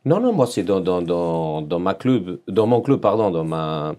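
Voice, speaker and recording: A man's voice: sits low, very animated, a little slow, mellow. A man in his forties from Serbia with a Serbian accent, telling his life story as a podcast guest in earnest French, searching for words and repeating phrases. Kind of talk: personal storytelling in an interview.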